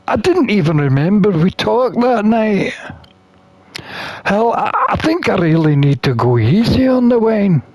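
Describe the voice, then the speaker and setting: Someone plays a flustered but protective satyr in a visual novel. The delivery is slow and full of hesitant stammers, with widely swinging pitch. The sound is dry and close. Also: darkish tone, dry recording. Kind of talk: acted visual novel dialogue reading.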